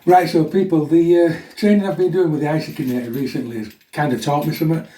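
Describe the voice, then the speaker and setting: A man in middle age speaks with a dark, relaxed tone that is a little chesty. He chats plainly to his fitness audience, often checking in with a "yeah?". He sounds some distance from the microphone in a reverberant room. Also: fairly high pitch, fast. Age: middle-aged. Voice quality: slightly chesty. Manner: chatty, blunt, frequent "yeah?" tags. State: getting over a chest infection. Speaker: fitness vlogger. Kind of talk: casual chat to viewers introducing a workout. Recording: echoey room, mic at a distance.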